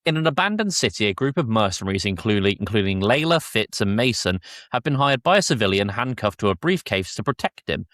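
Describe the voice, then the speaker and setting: Low-voiced man hosting a podcast. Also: very animated, brisk, few pauses, fairly bright tone, no room sound, clean studio sound. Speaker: podcast host. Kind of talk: casual review chat with plot summaries.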